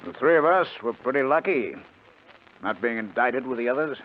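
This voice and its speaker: A man's voice: mid-range voice, animated, moderate pace, slight background noise, natural voice. An actor playing a brooding, regretful male criminal mastermind, terse and weary, in a 1950s radio drama. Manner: terse, weary, hard-boiled. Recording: tinny old radio recording, music behind.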